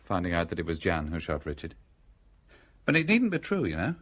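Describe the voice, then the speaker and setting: An actor in a radio drama playing a cool, wry, persuasive man who speaks with deliberate irony in a husky voice. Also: low-pitched, wildly swooping pitch, fairly bright tone, exaggerated voice.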